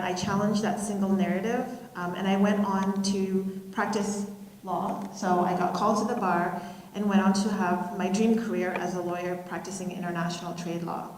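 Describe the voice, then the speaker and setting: A middle-aged woman giving a keynote speech, telling personal stories in a measured, reflective way with pauses. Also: medium pitch, darkish tone. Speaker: keynote speaker. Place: school auditorium, live audience. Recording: roomy hall reverb.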